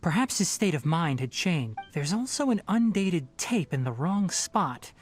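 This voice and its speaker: An actress playing a calm, analytical scholar in a video game, measured and thoughtful as she explains things. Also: fairly low pitch, soft background music. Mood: pensive. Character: calm, analytical woman scholar investigating a mystery.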